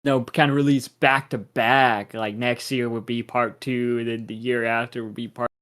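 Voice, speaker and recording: A man's voice: mid-range voice, level tone, moderate pace, a bit bright. Rambling young man, casual podcast chat. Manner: rambling, trails off and restarts. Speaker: podcast co-host.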